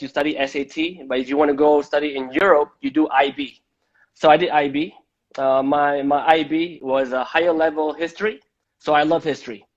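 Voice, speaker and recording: Halting man hosting a live-stream chat. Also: a little high. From Malaysia. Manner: halting, often repeats words before going on. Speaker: host of a live-stream debate. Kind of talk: casual live-stream interview. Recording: dull lo-fi live-stream audio.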